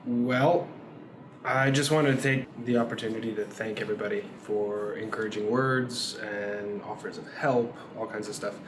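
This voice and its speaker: A man's voice: on the low side, steady tone, moderate pace. A man talking to camera in a reflective, thankful way, sounding a little worn after a long day. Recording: roomy echo with loud background noise.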